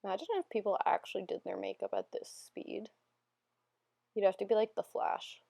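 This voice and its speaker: A young woman narrating a makeup tutorial in a casual, self-deprecating, rambling voiceover, her voice still a little hoarse from being sick. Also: mid-range voice, sing-song, brisk, mellow, dry recording. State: slightly hoarse, recovering from illness. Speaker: beauty vlogger.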